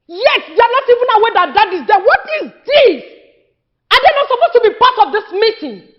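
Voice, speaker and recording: Very high-pitched scolding Nigerian daughter. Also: female voice, big pitch swings, fairly quick, dull audio. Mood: furious, scolding. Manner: scolding, repeats rhetorical questions. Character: outspoken, indignant grown daughter scolding her family. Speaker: actor in a family drama. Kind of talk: heated film drama acting.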